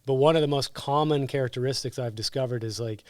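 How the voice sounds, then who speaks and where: Fast-talking man hosting a podcast. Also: mid-range voice, no room sound, studio quality. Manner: eager, rambling, quick. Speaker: podcast host. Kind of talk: podcast interview. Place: studio couch.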